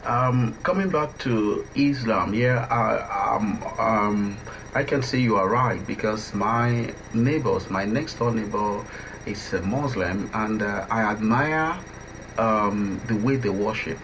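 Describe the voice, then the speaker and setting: A man hosting an interview, speaking in a halting, conversational way and often repeating his words. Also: fairly low pitch. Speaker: interviewer, radio drama producer. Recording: dull lo-fi audio with faint noise.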